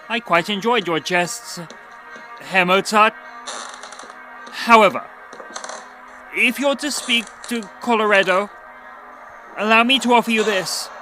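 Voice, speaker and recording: Strong music sits behind a man acting as an eager, courteous member of court. His voice is very high for a man, and he speaks earnestly and politely in formal period diction, as in an audio drama. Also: sharp tone. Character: eager, courteous acquaintance at the Archbishop's court, earnest well-wisher.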